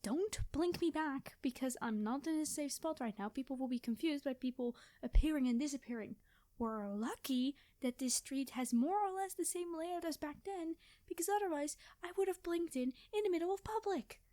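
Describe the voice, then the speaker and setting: A woman plays a quirky female time traveler in an ASMR story roleplay. Her voice is high and close, with a dry sound free of room echo. She rambles cheerily and gets flustered and hesitant as she tries to cover up her secret. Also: normal speed, warm tone, lightly acted. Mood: hushed, exasperated. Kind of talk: ASMR storytelling roleplay, one-sided conversation.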